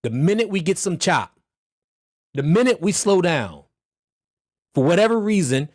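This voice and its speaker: A man leads a livestreamed trading mentorship, and his voice is hoarse as he recovers from an illness. His pitch sits a bit high, and the recording is dry and close. He talks bluntly and loudly, with slang, pushing his listeners like a coach. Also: big pitch swings, unhurried, no echo. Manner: loud, blunt, motivational, slangy.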